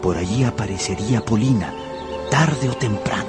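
A man's voice: fairly low pitch, moderate pace, music in the background, lightly acted. An actor playing an earnest, lovestruck young man, narrating and speaking in an intimate radio drama. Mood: wistful narration. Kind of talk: first-person radio drama narration and dialogue.

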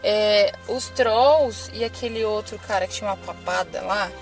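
A woman's voice: mid-range voice, moderate pace, faint music behind, low-quality recording. A woman with a Brazilian Portuguese accent, co-hosting a casual film-review podcast and offering her opinions with a questioning tone. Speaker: podcast co-host.

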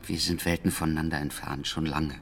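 An actor playing a world-weary, despondent betrayed husband, hesitant and brooding, in a German radio drama. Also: male voice, extremely low pitch, level tone, fairly quick, gravelly, background noise. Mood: bitter, resigned. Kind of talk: German radio drama dialogue and inner monologue.

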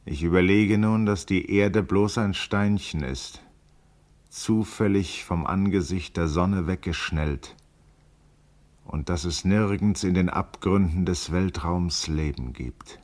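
An actor playing a grave, contemplative man in a literary radio play, speaking in a solemn, deliberate, poetic way. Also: deep voice, darkish tone. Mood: brooding. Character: grave, contemplative man musing on life and cosmos.

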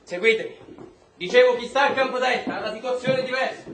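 An actor playing a brutal, foul-mouthed military officer, barking contemptuous orders in a stage drama. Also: male voice, extremely high pitch, brisk, a bit bright, slight background noise. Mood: menacing, scornful. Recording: roomy, echoing stage space.

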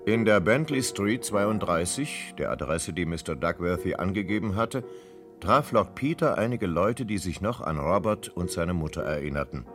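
An actor as the measured narrator of a detective radio play, reading scripted storytelling. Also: male voice, low-pitched, expressive. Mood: measured narration. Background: plucked guitar music.

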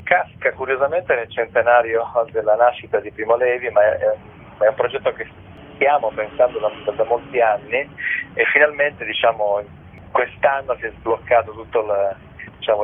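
A man with a lowish, rough-edged voice talks over a phone line as a guest on a radio show. He explains his stage work haltingly, repeating words while he finds the next phrase. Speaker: theatre actor and adapter interviewed as guest. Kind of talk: radio interview. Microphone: telephone. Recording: muffled phone call on radio.

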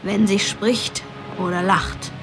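Slow, dreamy girl. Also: mid-range voice, fairly even intonation, soft background music. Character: dreamy, romantic young girl play-acting wounded soldiers. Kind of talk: German radio drama acting.

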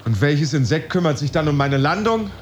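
An actor playing a bewildered, brooding jobless office worker in a musing, hesitant radio play monologue. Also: male voice, a little high, expressive, a little fast, slight background noise, lightly acted. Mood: wry, questioning. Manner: musing, hesitant, addressing himself. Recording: slightly muffled, music underneath. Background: music.

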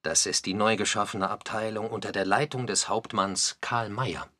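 An actor playing a documentary narrator in a radio play, telling history in a deliberate, articulate way. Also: male voice, on the low side, steady tone, bright, forceful tone. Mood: matter-of-fact. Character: male documentary narrator recounting postwar Munich history.

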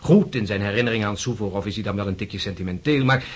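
An actor playing a composed, earnest young prince and officer in a radio drama, speaking in a husky, measured, reasoning way, courteous but firm. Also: male voice, fairly low pitch.

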